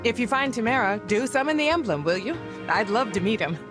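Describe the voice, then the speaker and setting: A woman's voice: a little high, animated, fairly quick, over music, close to an everyday voice. A woman playing an easygoing, indulgent middle-aged royal mother in a video game cutscene, breezy and airy. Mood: breezy, fond.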